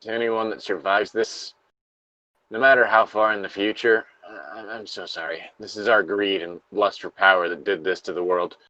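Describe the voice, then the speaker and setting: Dying, trapped workman played by a man, low voice, weary and halting, dry dramatic diary reading. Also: flat delivery, pushed and bright, dry recording, highs cut off. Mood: sorrowful. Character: dying, despairing male factory worker trapped under rubble.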